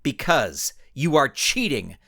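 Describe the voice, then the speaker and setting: A man's voice: medium pitch, big pitch swings, takes it slowly, creaky, a bit bright, heavily acted. A man voicing a stern, belligerent female superhero in a story, barking clipped commands. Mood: angry, commanding.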